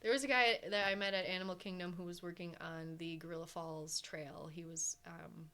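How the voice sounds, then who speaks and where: A woman co-hosting a podcast in casual chat, talking in a rambling, animated way and acting out what others said. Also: fairly low pitch, a little fast. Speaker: podcast co-host.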